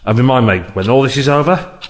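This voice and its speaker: A man's voice: mid-range voice, fast talker, natural voice. A man playing a worn-down police detective in an audio drama, terse and downcast. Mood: weary, distressed.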